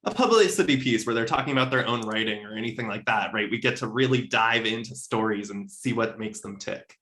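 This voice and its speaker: A man's voice: lowish voice, a little fast, pushed and bright. A man speaking casually in an interview, explaining things with enthusiasm as a podcast co-host. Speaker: podcast co-host appearing as guest.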